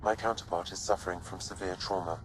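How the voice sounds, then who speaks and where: A man plays a polite ship AI assistant in a sci-fi game, precise in every word. The voice is deep and even, with clear ambient noise behind it. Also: fast, natural voice. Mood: neutral. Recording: noisy background ambience.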